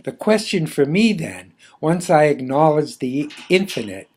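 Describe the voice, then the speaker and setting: A man reading his own philosophical essay aloud in a measured, deliberate, formally literary way. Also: a little high, very animated, a little mellow, husky.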